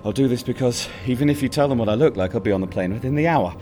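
An actor playing a calm, sadistic male killer who taunts his captive in a soft, mocking, coldly polite way. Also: medium pitch, very fast, slight background noise, natural voice. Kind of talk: audio drama acting.

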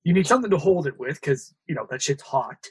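A man's voice: on the high side, animated, quick pace, darkish tone, breathy edge. A man, joking crudely and rambling through his notes. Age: 30s. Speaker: podcast co-host. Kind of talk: casual banter podcast.

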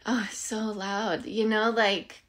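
A woman in her thirties from Bosnia, a podcast guest sharing personal stories in a conversational, halting way. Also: mid-range voice, little pitch movement, fairly bright tone. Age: 30s. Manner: conversational, halting, often says "like" and "you know". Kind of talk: casual personal storytelling.

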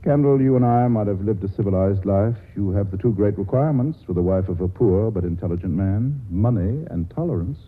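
A glib, wry talker. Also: male voice, on the low side, animated, a little fast, hardly any pauses, husky. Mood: pleading. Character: flippant witty man facing a gun. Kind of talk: 1940s radio acting. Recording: tinny old radio broadcast with faint hiss.

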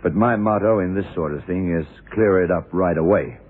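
An actor playing a blunt, self-assured police detective in a 1970s radio drama, questioning deliberately and methodically. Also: male voice, sits low, phone-quality sound, natural voice. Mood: brisk, in command. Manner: deliberate, probing, punctuated with yeah and right. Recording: noisy, band-limited old radio recording.

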